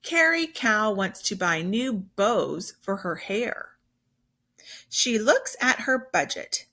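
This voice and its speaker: A woman's voice: medium pitch, lively intonation, slow pace, pushed and bright. A woman teacher reading a children's story aloud, explaining simply and with emphasis as she goes.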